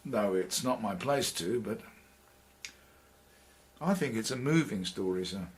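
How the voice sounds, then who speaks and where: A man's voice: fairly low pitch, expressive, a little slow. An actor playing a polite, deferential investigator in a radio drama, courteous and tentative as he questions. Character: polite, deferential male investigator calling on his betters.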